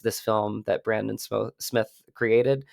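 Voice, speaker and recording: A man's voice: on the low side, fairly even intonation, slow, vocal fry, a little mellow, no room sound, clean studio sound. An American man, an actor, speaking earnestly and reflectively as an interview guest. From United States.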